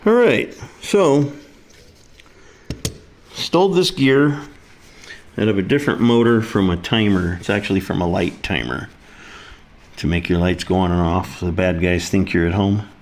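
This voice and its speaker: A man's voice: deep voice, measured pace, pauses often, a little hiss or hum. A man giving a casual, hands-on repair demonstration, talking through each step in a folksy, informal way. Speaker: repair video presenter. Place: kitchen, indoors.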